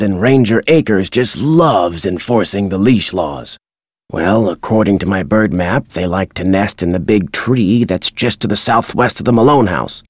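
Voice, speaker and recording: An older American man voicing a crotchety retired birdwatcher in a video game, folksy and gruff with a husky, dry delivery. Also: fairly low pitch, dry recording, lightly acted. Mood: wistful, plainspoken. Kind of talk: video game character dialogue.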